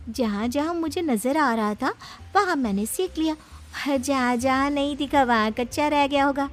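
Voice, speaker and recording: Woman voicing a very high, coy young bride. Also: moderate pace, lightly acted. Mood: playful. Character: coy young one-eyed bride Naina. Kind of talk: cartoon moral story acting.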